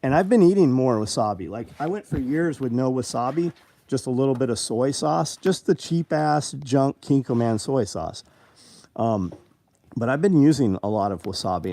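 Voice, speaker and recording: A man's voice: mid-range voice, dark tone. A man in his fifties hosting a casual live show, answering viewer comments in a blunt, rambling and profane way. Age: 50s.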